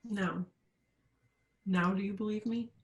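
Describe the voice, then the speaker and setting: A woman playing an earnest, vulnerable young woman haunted by grief in a staged reading, speaking in an urgent, halting way. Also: medium pitch, steady tone. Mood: hurt, subdued.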